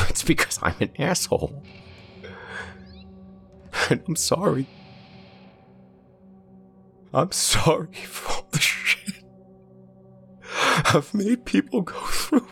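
A man's voice: deep voice, creaky, fairly bright tone, heavily acted. A man voicing a crude, remorseful male deity who swears bluntly as he confesses and apologizes.

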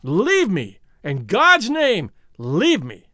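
A man's voice: high-pitched, sing-song, measured pace, a bit bright, no echo, studio quality, full character voice. A man playing a frightened, tormented man in an audiobook who fights for his sanity with agitated, emphatic repetition. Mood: desperate.

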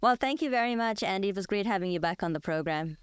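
Clear-voiced woman hosting a discussion. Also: medium pitch, expressive, quick pace, dry recording. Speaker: podcast host. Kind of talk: conversational interview discussion.

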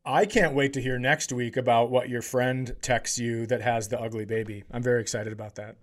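A man's voice: mid-range voice, steady tone, fairly quick. A man in his forties co-hosting a comedy podcast, telling stories casually in a teasing, self-deprecating, sweary way. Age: 40s. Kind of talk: casual comedic banter and storytelling.

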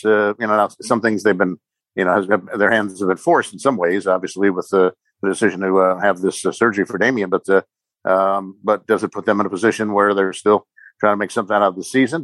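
A man giving casual sports podcast analysis as a guest commentator, halting and hedging with many ums and repeated words. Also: lowish voice, fairly bright tone, no room sound. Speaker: sports commentator guest, former radio play-by-play announcer.

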